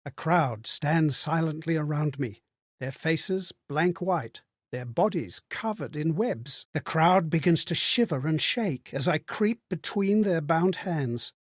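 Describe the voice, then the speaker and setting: Earnest male wanderer, fairly high-pitched, unhurried, sorrowful, theatrical video game monologue. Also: animated, dry recording, studio quality. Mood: haunted, reflective. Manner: earnest, reflective, theatrical storytelling. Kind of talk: dramatic game voice-over monologue.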